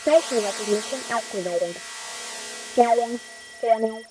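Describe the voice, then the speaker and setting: A woman voicing an impassive security computer in a comedy radio play, making flat, robotic announcements. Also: on the high side, measured pace, over music, close to an everyday voice, low-quality recording. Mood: impassive, automated. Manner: flat announcements, repeating words.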